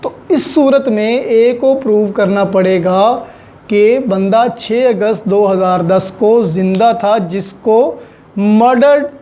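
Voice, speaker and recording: A law lecturer with a Pakistani accent explains with examples in deliberate, halting phrases, mixing in English legal terms. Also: medium pitch, dark tone.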